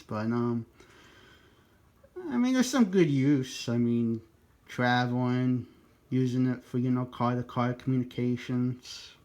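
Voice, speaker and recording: A man's voice: mid-range voice, dark tone. A man talking informally in a casual two-way radio chat, chatty and sprinkled with operator jargon. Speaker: amateur radio operator making a video.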